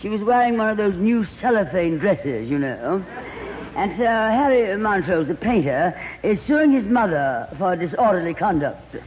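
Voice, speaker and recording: An actress playing a glamorous, worldly, gossipy stage actress in a 1940s radio comedy, theatrical and full of endearments. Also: female voice, mid-range voice, very animated, moderate pace, natural voice. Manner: theatrical, drawls endearments like darling. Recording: old radio broadcast, reverberant, dull, faint hiss.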